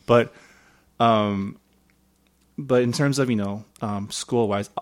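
A man's voice: fairly low pitch, slow pace. A young adult man whose first language is Mandarin, talking in a laid-back, hesitant way with many ums in a casual conversation.

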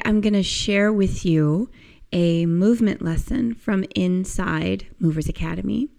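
Hoarse, croaky-voiced woman podcast host. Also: lowish voice. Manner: enthusiastic, encouraging, gently instructive. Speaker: podcast host and movement teacher. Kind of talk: casual podcast monologue.

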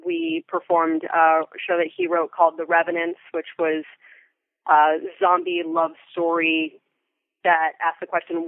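Heard over a narrow, telephone-like connection with no room sound, an American woman in her thirties gives interview answers. She is conversational and thoughtful, choosing articulate phrases and leaning deliberately on certain words. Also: fairly low pitch, no echo. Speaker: interview guest, theater company member and Foley artist. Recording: thin, phone-like remote line.

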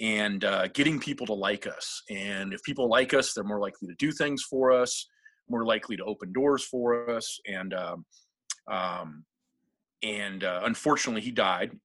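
A man's voice: fairly low pitch, moderate pace, fairly bright tone, no room sound. A middle-aged man from Seattle, a guest telling rambling, self-deprecating stories in a casual interview and laughing often. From Seattle, USA.

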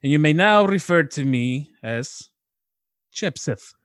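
A man voicing a pompous, fussily delighted country husband who fancies himself a refined gentleman, with a broken British accent and flowery manner. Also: fairly high pitch, lively intonation, slow pace, dry recording, clean studio sound, pitched above the performer's own voice. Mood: haughty.

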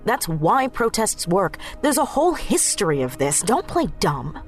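A woman's voice: mid-range voice, sing-song, unhurried, soft background music. A woman playing a blunt, plain-spoken young activist leader in a naturalistic audio drama. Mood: firm, confrontational.

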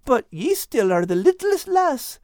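Chubby, loving Scottish animal warrior for children's story: raised pitch, hearty, lively. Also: male voice, measured pace, dry recording, studio quality, heavily acted. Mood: fond, sheepish. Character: big-bellied jolly affectionate male animal warrior.

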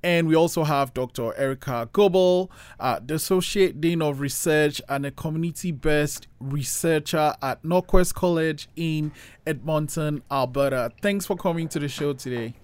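A man's voice: a little high, moderate pace, clear, resonant tone, dry recording. A man hosting an interview podcast, hesitant, with frequent fillers and restarts. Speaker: podcast host.

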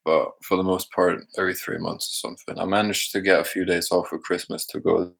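A young man from Denmark with a mixed Danish, American and British accent, talking casually and haltingly as a guest. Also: deep voice, flat delivery, vocal fry, fairly bright tone, breathy edge. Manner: halting, searching for words. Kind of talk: casual chat.

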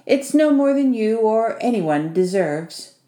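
A short, bossy male house spirit from a storybook, performed by a woman. He is wry and teasing, with a foreign turn of phrase. The tone is mellow, and the room around it echoes. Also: a little high, expressive, takes it slowly. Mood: wry, businesslike. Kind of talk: audiobook character dialogue.

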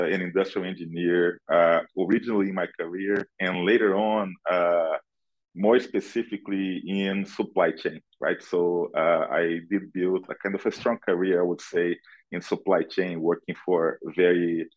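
Low-voiced Brazilian man, dull lo-fi sound. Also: fairly even intonation, moderate pace. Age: middle-aged. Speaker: podcast guest, analytics director. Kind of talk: conversational interview answers.

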